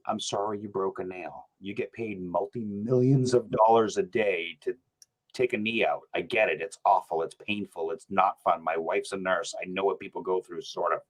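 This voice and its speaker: A man, a podcast guest chatting casually, animated and rambling, laughing often. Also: low-pitched, moderate pace, low-quality recording. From New Hampshire. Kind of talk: casual sports chat.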